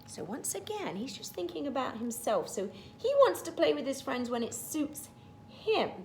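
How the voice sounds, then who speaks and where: A woman's voice: sits high, very animated, moderate pace, darkish tone, a little hiss or hum, poor audio. A woman teacher reading a storybook to young children, stopping to explain things in simple words. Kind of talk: storybook read-aloud with explanatory asides.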